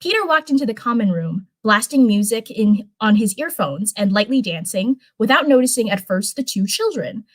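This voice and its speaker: A woman's voice: fairly high pitch, lively intonation, moderate pace, hardly any pauses. A woman hosting a live stream in an informal, chatty way, talking to viewers and reading fan fiction aloud. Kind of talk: casual livestream chat and live story reading.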